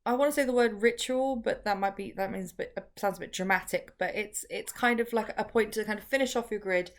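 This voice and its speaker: A woman teaching on camera, talking through each step in a chatty way with many restarts and ums, her voice a bit croaky. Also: medium pitch, a little fast. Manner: chatty, filler-heavy explaining with frequent restarts. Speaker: crystal workshop teacher. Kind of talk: casual how-to video.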